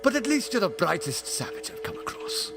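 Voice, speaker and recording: A man's voice: very high-pitched, huge pitch swings, brisk, full character voice. A man playing an impassioned, scornful alien in a fan audio drama, delivering grand declamatory speeches. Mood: scornful, lecturing. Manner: theatrical, declamatory. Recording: loud music over the voice.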